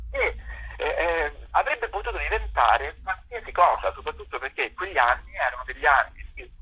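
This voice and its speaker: Husky man answering hesitantly in interview. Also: medium pitch. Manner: hesitant, searching for words as he explains. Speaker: playwright being interviewed. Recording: dull lo-fi radio interview line.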